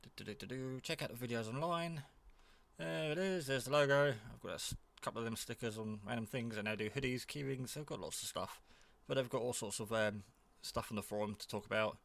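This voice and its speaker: A man giving a casual, off-the-cuff product demo, rambling in a low-key way as he thinks aloud. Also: fairly quick, vocal fry, a bit bright. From England. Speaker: hobbyist reviewer.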